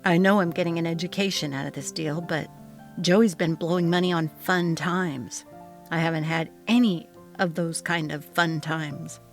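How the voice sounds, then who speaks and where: An earnest young adult son read by a woman, sober and restrained, in a text-message audio drama. The voice is on the low side, with music playing along. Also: steady tone, normal speed, lightly acted. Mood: frank, resentful.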